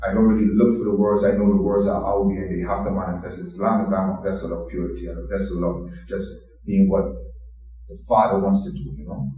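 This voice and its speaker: A middle-aged man, a dancehall artist, telling his story in a calm, measured way and often adding "you know". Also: low-pitched. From Guyana. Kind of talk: reflective interview storytelling. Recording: echoey room, mic far off.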